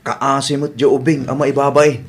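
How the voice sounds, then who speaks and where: A man's voice: mid-range voice, little pitch movement, moderate pace, natural voice. A man with an Ilocano accent playing a supportive husband who asks and reassures, in a comedy radio drama. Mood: reassuring. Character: supportive husband listening to his wife.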